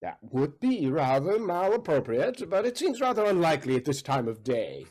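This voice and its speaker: A lecherous, pompous count acted by a man in a stage period comedy. He wheedles in florid phrases, his husky, somewhat high voice rising and falling with great animation. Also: sing-song, moderate pace, a little mellow. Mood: amorous.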